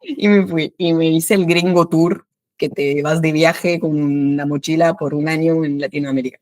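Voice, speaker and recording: French woman, lively Argentine-tinged Spanish. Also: deep voice, no echo. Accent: Argentine Spanish with a French background. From France. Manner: lively, laughs often, quick bursts of speech. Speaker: guest, French teacher. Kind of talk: casual interview chat.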